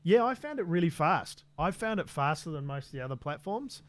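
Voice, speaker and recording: A man's voice: fairly high pitch, sing-song, brisk, no room sound. A man co-hosting a tech podcast in an easygoing, casual chat full of fillers and restarts. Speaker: podcast co-host.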